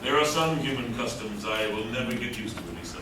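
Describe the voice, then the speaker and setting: A man's voice: mid-range voice, lively intonation, quick pace, natural voice. A man playing a proud, solemn gargoyle leader with formal, declamatory speech in a live radio play. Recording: echoey hall from far off, loud noise.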